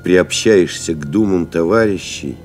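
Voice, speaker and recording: Deep, unhurried, solemn pilot. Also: male voice, a little mellow, soft background music. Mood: pensive. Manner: reflective, solemn, measured, dramatic pauses. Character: pensive, solemn airmail pilot recalling lost comrades. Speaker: actor reading a literary memoir as narrator. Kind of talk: literary audio drama monologue.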